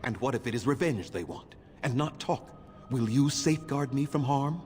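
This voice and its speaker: An actor playing an ancient, proud and vengeful elf leader in a game, speaking sternly and with grief. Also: male voice, medium pitch, moderate pace, darkish tone, soft background music, natural voice. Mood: defiant. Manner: stern, grieving, declamatory. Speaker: game character. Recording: reverberant game audio with faint noise.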